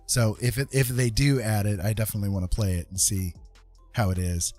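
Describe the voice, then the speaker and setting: A man with a fairly low voice talks casually as a stream host, with faint music behind him. He rambles in a hesitant way, stopping mid-thought and circling back over the same phrases. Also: steady tone, brisk, darkish tone. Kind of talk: casual rambling chat with viewers.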